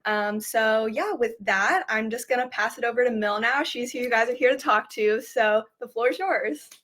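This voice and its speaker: A woman's voice: a little high, normal speed, a bit bright. A woman hosting a live webinar in an upbeat, casual way, using "like" a lot. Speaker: host.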